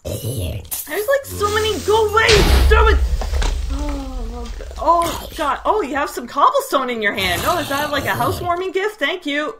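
A woman's voice: sits high, very animated, measured pace, noisy background. A young woman with a Canadian accent giving casual gameplay commentary, given to sudden startled squeals and chatty asides to her viewers. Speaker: gaming video creator.

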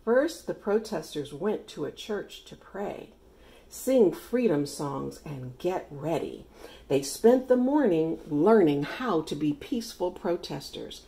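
Middle-aged lady reading a kids book out loud, lowish voice, pitch swings a lot, really emphatic. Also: creaky. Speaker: read-aloud storyteller. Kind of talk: expressive children's picture book reading.

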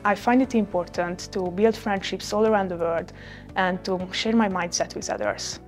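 A woman's voice: mid-range voice, normal speed, faint music behind. A teenage girl from Hungary giving an upbeat, articulate self-introduction as a student on a lapel mic. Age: teens.

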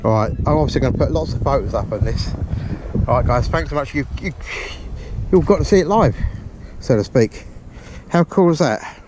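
A man talks straight to his viewers as he detects along a pebbly beach at dusk, chatty and quick to swear when something thrills him. His voice sits at an everyday middle pitch. It is captured on a body-worn camera, with clear outdoor noise running underneath. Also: lively intonation. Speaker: metal detecting vlogger. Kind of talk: excited running commentary while detecting. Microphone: body-worn action camera.

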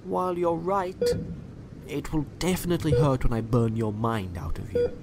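An actor in an audio drama playing an ancient, sinister scientist emperor with a measured, condescending courtesy. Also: male voice, medium pitch, lightly acted. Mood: cold, menacing. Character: ancient, sinister male scientist emperor, coldly polite villain.

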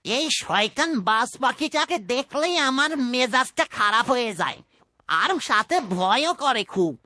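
A scrawny, sly cartoon coward, high and sharp-toned. The delivery whines with fear, recorded close and dry. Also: female voice, expressive, a little slow, no room sound. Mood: grumbling fear. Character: cowardly, sly, skinny underling in a fairytale. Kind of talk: cartoon fairytale voice acting.

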